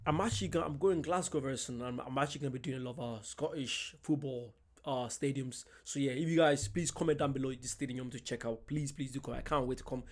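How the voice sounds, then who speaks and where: A young man, doing an excited, chatty reaction vlog and laughing often. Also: medium pitch, quick pace, few pauses. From Ireland. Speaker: reaction vlogger. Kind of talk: casual reaction commentary.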